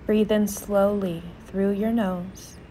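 Slow, mellow woman guiding meditation. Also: medium pitch, flat delivery, slight background noise. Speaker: meditation guide. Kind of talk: guided meditation.

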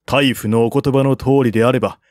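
A man voicing a shrewd, calm military strategist of ancient China in an audiobook, formal and reasoned. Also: medium pitch, brisk, no echo, clean studio sound, lightly acted. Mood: courteous.

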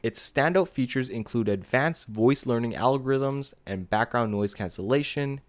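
A man presenting a scripted software review as a voice-over, speaking casually and directly to viewers. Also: medium pitch, moderate pace. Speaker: video creator and presenter.

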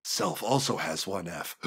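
A man's voice: lowish voice, lively intonation, slow, music in the background. A man giving casual, joking commentary on a video game as a let's play co-commentator.

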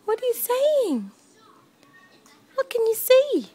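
A woman's voice: very high-pitched, huge pitch swings, slow, dark tone, soft background music. A woman, a mother talking to her baby in sing-song baby talk, cooing and repeating short questions and phrases. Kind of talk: baby talk, home chat.

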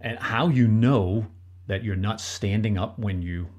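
A man's voice: fairly low pitch, a little slow, darkish tone. A middle-aged man with a Danish accent, whose first language is Danish, co-hosting a relationship podcast and talking earnestly and directly to listeners. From Denmark. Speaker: podcast co-host, relationship coach. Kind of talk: solo advice talk.

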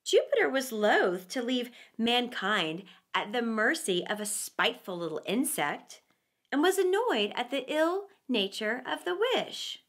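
A middle-aged woman reading aloud to children as a storyteller, with theatrical, deliberate phrasing. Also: fairly high pitch, sing-song, a bit bright. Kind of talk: expressive read-aloud for children.

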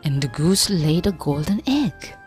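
A teacher with an Indonesian accent reading a storybook aloud to children in a slow, sing-song way. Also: female voice, sits low, big pitch swings, a little mellow, breathy edge, faint music behind.

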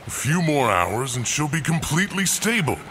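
An actor playing a doting, hopeful scientist father in an audio drama, measured and earnest. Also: a little high, sing-song, noisy background. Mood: reassuring. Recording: music under the voice.

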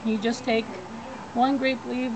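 Woman cooking instructor, fairly high voice, talks through each step in plain words; outdoor noise behind her. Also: flat delivery, measured pace. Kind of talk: cooking demonstration. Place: backyard outdoors.

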